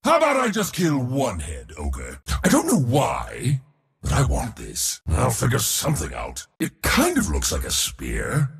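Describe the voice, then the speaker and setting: A haughty god of war for a video game, his voice very rough and gravelly, ringing with long reverb. He is pompous and mocking, swinging wildly between huge battle bellows and low sneers. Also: wildly swooping pitch, a little slow, full character voice. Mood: smug, taunting. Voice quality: raspy, gravelly, powerful. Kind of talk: video game hero voice lines.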